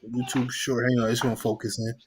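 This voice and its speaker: A man's voice: medium pitch, flat delivery, quick pace. Blunt, slangy man over a noisy mic. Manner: blunt, profane street slang, storytelling. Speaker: podcast guest. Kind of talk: casual podcast chat. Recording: noisy background hiss.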